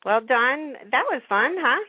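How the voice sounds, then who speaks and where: A woman's voice: high voice, lively intonation, measured pace, breathy edge. A woman hosting a casual talk radio show, chatting informally. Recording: narrow phone-like internet radio call.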